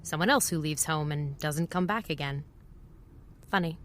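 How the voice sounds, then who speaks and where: A woman's voice: low voice, normal speed, fairly bright tone, slight background noise. An actress playing a wry, world-weary woman who narrates a spoken travel journal in an audio drama, reflective, often in clipped fragments. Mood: reflective, observant.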